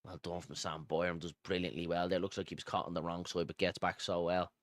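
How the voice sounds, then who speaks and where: Male fan-stream football commentator, Dublin accent, low pitch, chatty and pally with viewers, dry sound. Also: flat delivery, fast, no room sound. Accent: Dublin Irish. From Dublin, Ireland. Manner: informal, matey, addresses viewers as pal and buddy. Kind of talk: live football commentary mixed with casual chat to viewers.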